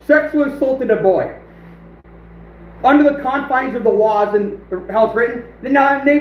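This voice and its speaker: Livestream host, man, high voice, hoarse, echoey room on lo-fi audio. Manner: laughing, reacting to chat. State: losing his voice. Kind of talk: casual livestream chat.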